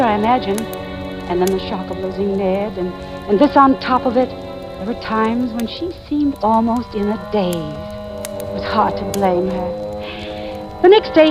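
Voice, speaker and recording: An actress in 1940s radio style playing a poised, middle-aged mystery writer with a refined, articulate delivery and dry wit. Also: female voice, on the high side, muffled recording, natural voice. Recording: vintage radio drama with music.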